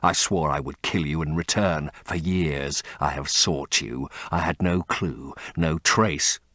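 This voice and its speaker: A man's voice: very deep voice, a bit bright, no room sound, clean studio sound, pitched below the performer's own voice. A man playing a grim vengeful sailor. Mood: menacing. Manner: slow, menacing, deliberate.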